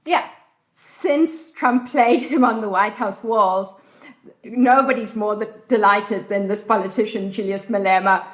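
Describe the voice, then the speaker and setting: A South African woman speaks in a steady, explanatory way at a middling pitch. Her voice rings a little in a reverberant room. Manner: measured, informative, steady. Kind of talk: explanatory interview answers.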